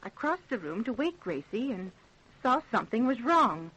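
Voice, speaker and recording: A woman's voice: a little high, very animated, normal speed, a bit bright, breathy edge, exaggerated voice, poor audio. An actress playing a fussy, kindly, old-fashioned middle-aged American mother in an old-time radio drama. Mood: shaken, testifying.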